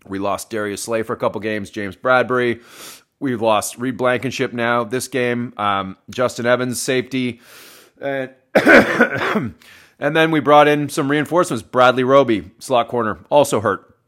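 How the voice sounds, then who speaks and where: A man reviewing a football game on his own, hoarse and wheezy, coughing and correcting himself as he talks to his listeners. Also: medium pitch, measured pace, a bit bright. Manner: rambling, self-correcting, addresses listeners as folks. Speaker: sports podcast host.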